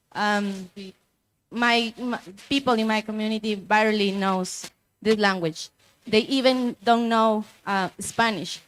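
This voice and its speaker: A woman's voice: on the high side, low-quality recording. Impassioned young woman activist. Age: young adult. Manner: impassioned, rhetorical questions. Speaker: youth activist speaker. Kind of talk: panel statement.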